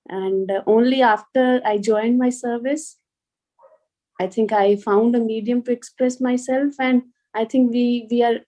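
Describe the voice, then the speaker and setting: A woman's voice: fairly high pitch, warm tone, rough recording. A middle-aged woman with an Indian accent giving an informal talk as a guest speaker, reflective and hesitant, often repeating words. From rural Punjab, India. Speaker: guest speaker, police officer and poet. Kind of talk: informal address to an online student session.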